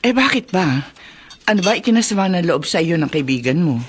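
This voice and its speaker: An actress playing a caring middle-aged mother in a radio drama, chatty and expressive. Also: lowish voice, brisk, husky. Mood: concerned.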